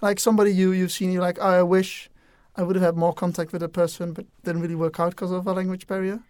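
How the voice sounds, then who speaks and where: A woman's voice: fairly low pitch, little pitch movement, fast talker, a little mellow, dry recording. A young woman with a Dutch accent, a native Dutch speaker, talking casually and thoughtfully on a student podcast with frequent hesitations. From Netherlands. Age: young adult. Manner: thoughtful, hesitant, many "um"s and restarts. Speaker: international exchange student.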